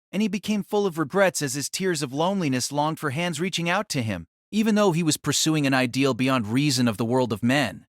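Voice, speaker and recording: A man narrating a novel as a dramatic audio reading, solemn and theatrical, with measured phrasing. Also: fairly high pitch, no echo, clean studio sound.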